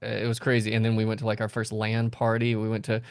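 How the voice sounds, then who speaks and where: Low-voiced man in his thirties, chatting casually. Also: completely flat delivery, fast talker, smooth, no echo, studio quality. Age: 30s. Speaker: comedy stream host. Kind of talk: casual gaming chat.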